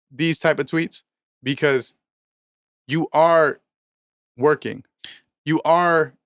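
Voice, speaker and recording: Emphatic profane man, smooth and dry. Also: fairly high pitch, fairly bright tone, dry recording. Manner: emphatic, profane, preachy motivational rants. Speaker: podcast co-host, rapper. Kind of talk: casual podcast chat.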